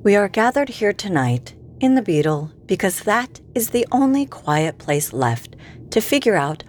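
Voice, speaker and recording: A woman's voice: medium pitch, big pitch swings, unhurried, a little hiss or hum. A woman playing an exasperated character in an audio comedy, speaking with mock formality. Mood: stern, exasperated. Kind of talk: audio comedy drama acting.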